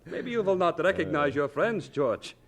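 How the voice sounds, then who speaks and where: An actor playing a kindly older German doctor in an audio drama, jovial and fussing, with a German accent and stilted phrasing. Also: male voice, on the high side, lively intonation, moderate pace. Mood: jovial, welcoming.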